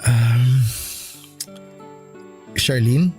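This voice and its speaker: A man's voice: medium pitch, drawn-out words. A man playing a meek, apologetic young husband in a radio drama, hesitant and pleading. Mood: sheepish, sweet. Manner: hesitant, pleading, soft-hearted. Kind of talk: radio drama acting in dialogue. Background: background music.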